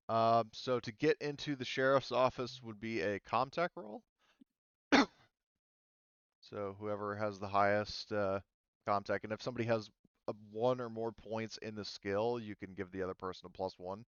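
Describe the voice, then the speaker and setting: Male game master, low and smooth, informal halting explanations, dry and slightly dull recording. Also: a bit bright, dry recording. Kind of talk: running a tabletop roleplaying game.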